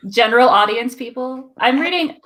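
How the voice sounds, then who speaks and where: A woman's voice: fairly high pitch, lively intonation, normal speed, a bit bright, a little hiss or hum, poor audio. A woman chatting casually as a guest in a live book group, matter-of-fact and a little self-deprecating. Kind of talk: casual group chat about books.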